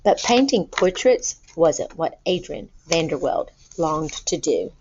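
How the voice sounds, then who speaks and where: A woman reading a children's story aloud in an expressive, deliberate storytelling manner. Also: low voice, a little hiss or hum. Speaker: storybook reader. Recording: boxy roomy sound, dull lo-fi.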